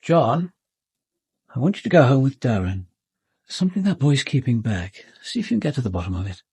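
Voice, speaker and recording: An actor playing an observant police detective, narrating a murder investigation in a grave, deliberate and precise way for a radio crime drama. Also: male voice, medium pitch, very animated, dark tone, slightly rough, no room sound, heavily acted. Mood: brisk, commanding.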